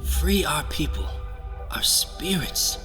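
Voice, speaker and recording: A man acts out a reverent male follower in a fantasy audio drama. He implores his lord in solemn, halting phrases at a very slow pace. Music plays clearly underneath. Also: fairly high pitch, lively intonation. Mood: pleading, reverent.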